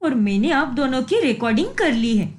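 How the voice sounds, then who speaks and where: A woman's voice: high voice, lively intonation, brisk. A woman voicing an earnest, devoted young wife in a cartoon moral story, speaking emphatically. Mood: indignant. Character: devoted young wife, supportive, righteous when wronged.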